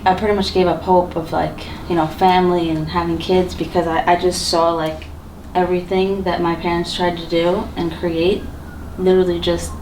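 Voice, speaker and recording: Lower-voiced woman, chatty, in a roomy space. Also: little pitch movement, normal speed, a little mellow, a little hiss or hum. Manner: casual, chatty with fillers. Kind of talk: personal interview. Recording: roomy sound from a distance.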